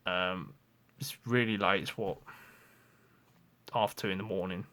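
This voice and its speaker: A man's voice: low voice, steady tone, unhurried, sharp tone. A man, talking frankly and ramblingly in a confessional vlog, with lots of restarts and swearing. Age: 20s. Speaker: vlogger.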